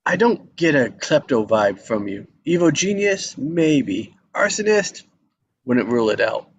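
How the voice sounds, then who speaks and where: A man's voice: medium pitch, big pitch swings, a little slow. A man reading the part of a hesitant yuppie husband in a screenplay table read, dry and noncommittal. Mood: wry. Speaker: actor in a live table read. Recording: dull mic with faint noise.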